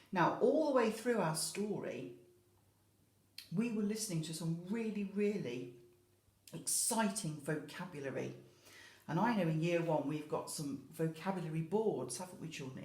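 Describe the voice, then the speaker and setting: The recording is roomy and echoey. A British woman with a slightly low voice speaks as an infant school teacher to young children. She explains storybook words expressively, leaning on key words and adding little questions to keep them involved. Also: darkish tone. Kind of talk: educational talk to young children about a picture book.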